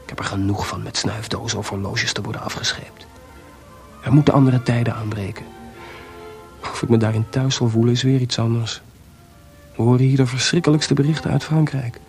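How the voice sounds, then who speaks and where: A man's voice: medium pitch, steady tone, brisk, a little mellow, close to an everyday voice. Reflective weary composer, played by a man, music behind. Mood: bitter, weary. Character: male composer writing weary, tender, bitter letters. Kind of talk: radio play monologue, letters read aloud.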